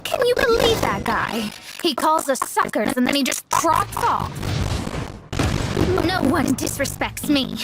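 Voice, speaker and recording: High-voiced proud young genius. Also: female voice, big pitch swings, unhurried, low-quality recording. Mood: indignant, boastful. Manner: self-assured, theatrical, articulate. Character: proud confident young woman, scholarly genius with haughty flair. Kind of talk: video game voice acting. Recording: music under the voice.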